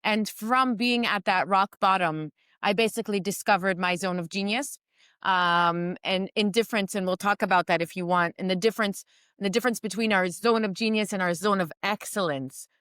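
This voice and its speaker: Smooth-voiced New York woman, reflective. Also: mid-range voice, normal speed, a bit bright, no echo, clean studio sound. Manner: reflective, many ums and restarts. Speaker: guest entrepreneur and mentor. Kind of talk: remote interview conversation. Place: home in Israel during a storm.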